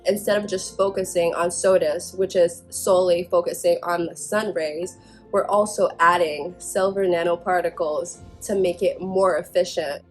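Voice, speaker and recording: A woman's voice: fairly low pitch, fairly even intonation, normal speed, faint music behind. A young woman student from Haiti, talking earnestly and reflectively about her research. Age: young adult.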